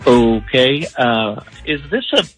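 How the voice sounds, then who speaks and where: A man's voice: medium pitch, big pitch swings, slow, over music, rough recording. A man chatting informally on a call to a radio show. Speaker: radio phone-in guest, prank-call target. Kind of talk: casual, informal phone chat.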